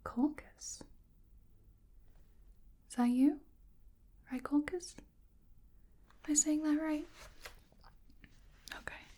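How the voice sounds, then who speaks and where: A woman with a soft, breathy, often whispery voice doing ASMR roleplay as a bewildered, polite researcher, speaking hesitantly to the listener. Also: sits high, slow pace, long pauses. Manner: hesitant, murmuring to an unseen listener. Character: bewildered, polite female researcher examining a creature.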